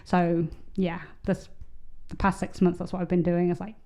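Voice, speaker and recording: A woman's voice: fairly low pitch, flat delivery, moderate pace, mellow. A woman co-hosting a podcast in casual chat, rambling with many fillers and restarts. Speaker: podcast co-host.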